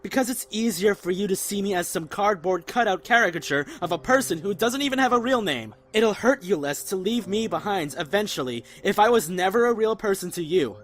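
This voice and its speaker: A man playing a caring, earnest young survivor in a zombie apocalypse audio drama, mild-mouthed and gentle but stubborn. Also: high-pitched, expressive, a little fast, hardly any pauses. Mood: pleading, firm. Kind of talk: fan audio drama acting.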